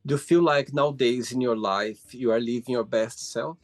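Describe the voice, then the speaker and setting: Friendly male host asking halting questions. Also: medium pitch, no room sound, soft background music. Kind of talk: casual interview over a call.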